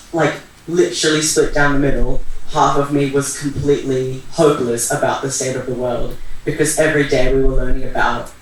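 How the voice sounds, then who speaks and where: Echoey, halting young man, far from mic. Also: medium pitch, fairly bright tone. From Iran. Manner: halting, searching for words. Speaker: panel speaker. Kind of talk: casual personal reflection at a community talk. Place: echoey event venue. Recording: very echoey room, distant mic, faint noise.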